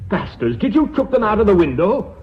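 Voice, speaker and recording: Excitable, blunt, animated board member. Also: male voice, sits high, fairly quick. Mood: alarmed, bitter. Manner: blunt, panicky. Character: excitable, cynical, alarmed male board member. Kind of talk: British radio play acting. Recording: dull, faintly noisy radio recording.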